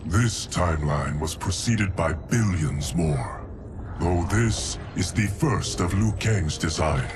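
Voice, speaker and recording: An actor playing a dignified, stoic ancient guardian in a video game, speaking gravely and formally. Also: male voice, low voice, animated, takes it slowly, music in the background, full character voice. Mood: grave, matter-of-fact. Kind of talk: dramatic video game cutscene acting.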